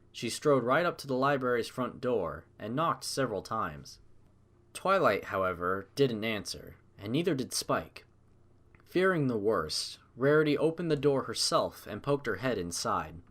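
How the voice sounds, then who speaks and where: A man narrating a scripted story with expressive, dramatic, measured delivery. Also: mid-range voice.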